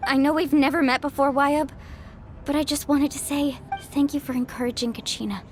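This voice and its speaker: A woman's voice: high voice, fairly even intonation, brisk, a little hiss or hum, natural voice. A woman voicing a spirited young woman adventurer in a video game, speaking sincerely and emphatically. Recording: game music behind the voice.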